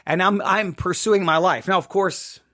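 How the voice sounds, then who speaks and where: A man's voice: sits high, steady tone, normal speed, pushed and bright. A middle-aged man from Texas hosting a podcast, telling a personal story in an emphatic, repetitive monologue. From Texas, United States. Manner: emphatic, repetitive, seeking agreement with "right?". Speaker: podcast host.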